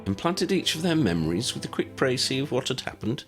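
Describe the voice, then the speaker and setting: A man voicing a brisk, confident young woman, a witch, in an audiobook story. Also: medium pitch, big pitch swings, soft background music, pitched above the performer's own voice, lightly acted. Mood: brisk, explaining.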